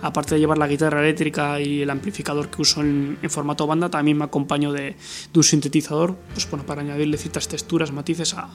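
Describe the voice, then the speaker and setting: A middle-aged man from Bilbao talks quickly and in a flat, even tone, dropping fillers and tag questions as he explains his music. His voice sits a little high for a man, and faint music plays behind him. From Bilbao, Spain. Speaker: musician guest. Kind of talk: casual interview answers.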